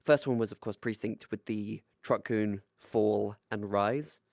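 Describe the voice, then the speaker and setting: A podcast co-host talks in casual, animated bursts at a middling pitch. The manner is jokey and sweary. The recording is dry, with little sense of a room. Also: unhurried, creaky, dry recording. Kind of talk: casual chat.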